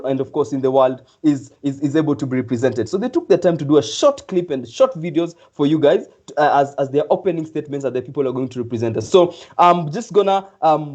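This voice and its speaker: A man's voice: on the high side, a little mellow. Enthusiastic young man hosting, muffled. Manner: enthusiastic, rambling with many fillers. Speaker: event host. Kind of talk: live online event hosting.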